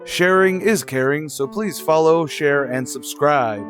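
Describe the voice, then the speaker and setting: A man reading a brisk scripted sign-off as the show's announcer. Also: on the high side, a bit bright, faint music behind.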